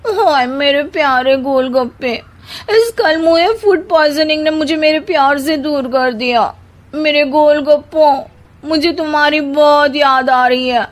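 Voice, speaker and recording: A woman's voice: high-pitched, unhurried. A woman voicing a young, cheeky, whiny daughter-in-law in a cartoon story, melodramatic and argumentative. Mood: pining. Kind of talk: Hindi cartoon story dialogue.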